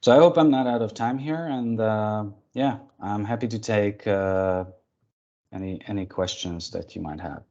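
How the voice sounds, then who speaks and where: Low-voiced Hungarian man, dull call audio. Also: normal speed, warm tone. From Budapest, Hungary. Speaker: guest presenter of a lightning talk. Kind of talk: informal tech presentation. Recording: dull, band-limited online call audio.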